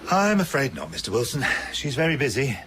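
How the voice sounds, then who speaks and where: A man's voice: mid-range voice, very animated, a little fast, background noise, close to an everyday voice. An actor playing a polite, reassuring, slightly uneasy male research doctor in a radio drama. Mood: soothing.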